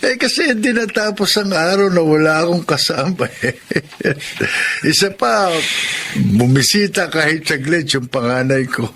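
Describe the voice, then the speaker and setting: Lonely old filipino lolo in a drama, gentle n wistful, takes his time, bit of noise behind. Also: a little high, expressive, measured pace, heavily acted. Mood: warm, reflective. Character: kindly, lonely old widowed grandfather, nearly seventy. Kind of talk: Filipino radio-style drama acting.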